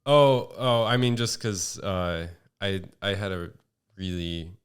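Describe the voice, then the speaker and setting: Low, smooth-voiced monotone man. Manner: halting, many fillers and restarts. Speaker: podcast guest. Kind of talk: casual chat.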